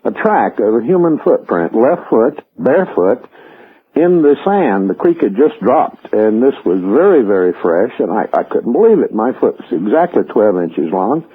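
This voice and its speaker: A man's voice: medium pitch, very animated, normal speed, husky. A middle-aged or older man calling a radio show, telling a vivid story in emphatic, clipped phrases. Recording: tinny, narrow phone line.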